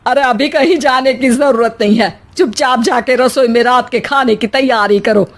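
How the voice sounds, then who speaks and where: A stern village mother-in-law, acted out by a woman in a high voice. She is bossy and disapproving, scolding her way through a storybook family tale. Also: normal speed, lightly acted.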